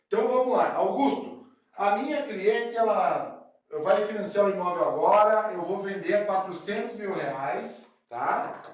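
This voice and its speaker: A man from southern Brazil with a cold, explaining real estate deals to fellow brokers, chatty and often checking in with his listeners. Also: sits high. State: has a cold. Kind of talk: explanatory talk to camera. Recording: very echoey room.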